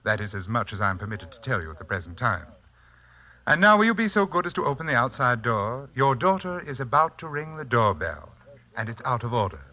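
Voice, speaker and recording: A crisp, forward male voice for an eerily composed mystic in a vintage radio thriller. He is formal and condescending, gliding through wide, deliberate swoops of pitch with soft-spoken menace. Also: sing-song. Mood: cool, commanding. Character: sinister, composed, polite mystic uncle back from India. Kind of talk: 1940s radio drama acting. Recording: vintage 1940s radio recording.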